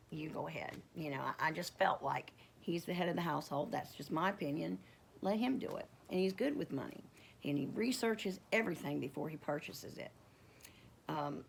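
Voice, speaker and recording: A middle-aged woman speaks low and croaky, her voice full of gravelly fry. She tells her story casually and rambles, stopping often with fillers and restarts. A faint hiss of noise sits under the recording. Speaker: homestead vlogger. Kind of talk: casual personal testimony.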